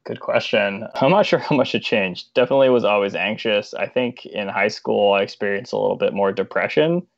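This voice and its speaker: A man's voice: on the low side, fairly quick, gravelly, no echo, lo-fi sound. A man who is a guest on a podcast, chatting casually and searching for his words with many fillers. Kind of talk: casual interview chat.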